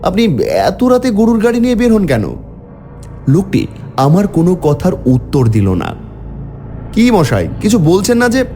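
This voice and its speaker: Male cartoon horror narrator: dark warm timbre, highly expressive pitch, theatrical first-person storytelling. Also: measured pace, long pauses, faint music behind. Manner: theatrical, exclamatory in dialogue.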